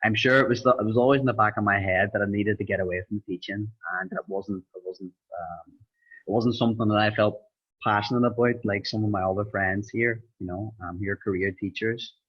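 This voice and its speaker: A young Irish man telling his story in an interview, pausing often with ums. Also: fairly low pitch, fairly even intonation, slightly rough. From Ireland. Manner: hesitant, many ums, rambling. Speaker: interviewed student. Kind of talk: casual interview. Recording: dull lo-fi sound.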